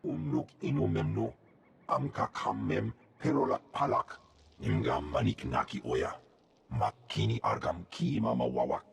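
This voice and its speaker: Gravelly, growling alien brute. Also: male voice, fairly low pitch, lively intonation, moderate pace, a little hiss or hum. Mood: menacing. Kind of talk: game creature acting in invented alien tongue.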